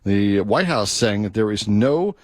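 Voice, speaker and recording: A man's voice: on the low side, lively intonation, unhurried, no room sound, studio quality. An American man reading a scripted radio news bulletin as the anchor. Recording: radio broadcast with music underneath. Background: music bed.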